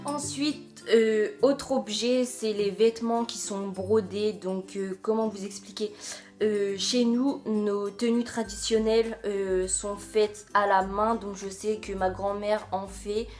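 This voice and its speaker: Young French woman, casual vlogger, halting chatty delivery, faint music underneath. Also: medium pitch, fairly even intonation, a little mellow. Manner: chatty, many fillers and hesitations. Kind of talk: casual video chat.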